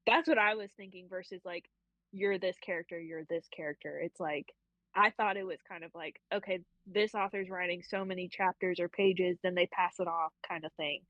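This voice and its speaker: A woman's voice: fairly low pitch, fairly even intonation, normal speed, fairly bright tone, no echo, dull audio. A young woman co-hosting a casual book club chat, rambling and self-deprecating with frequent laughs. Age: young adult.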